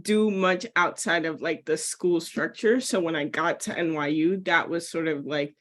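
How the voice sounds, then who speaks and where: A woman's voice: low voice, fairly even intonation, normal speed, a bit bright. A young woman, a college graduate, speaking as a webinar panelist over a video call, chatty and full of fillers, with light laughs. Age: young adult.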